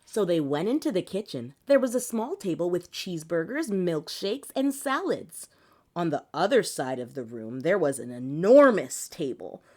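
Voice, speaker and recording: A woman reading a children's picture book aloud in an animated, theatrical, expressive way. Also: fairly low pitch, moderate pace. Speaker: children's story reader.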